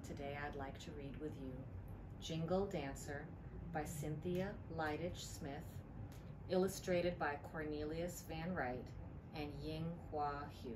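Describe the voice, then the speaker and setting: A middle-aged woman, a librarian, reads a picture book aloud with deliberate storytelling emphasis. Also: deep voice, fairly even intonation. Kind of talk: expressive storybook read-aloud. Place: indoor library room. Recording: clearly noisy room ambience.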